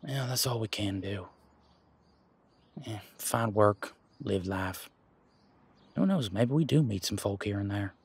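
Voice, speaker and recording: A man playing an earnest, teasing werewolf boyfriend in an intimate roleplay audio drama, speaking casually and haltingly with a husky voice. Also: fairly low pitch, full character voice. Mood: reflective, gentle. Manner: casual, halting, conversational with trailing pauses. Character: male werewolf boyfriend, earnest, hopeful, teasing former bodyguard.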